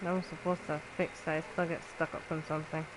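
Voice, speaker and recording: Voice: medium pitch, little pitch movement, quick pace, heavy background noise. A gamer giving casual gameplay commentary, talking to themselves in short, scattered remarks. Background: game music.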